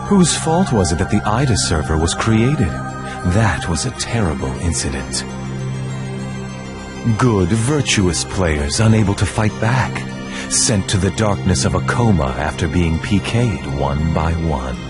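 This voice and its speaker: A man playing a menacing, sanctimonious leader in a video game, theatrical, condescending and deliberate, with music behind him. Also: deep voice, animated. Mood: accusing. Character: menacing, sanctimonious male cult-like leader and manipulator. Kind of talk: video game cutscene voice acting.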